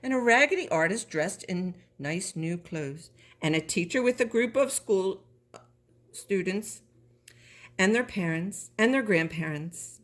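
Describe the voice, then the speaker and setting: A woman's voice: medium pitch, lively intonation, unhurried. Woman reading a children's story expressively. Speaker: storybook reader.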